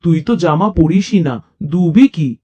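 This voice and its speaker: Talking dog done by a narrator: teasing, sceptical, unhurried, lively pitch. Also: husky, dull audio. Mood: amused, puzzled. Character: amused, doubting talking dog.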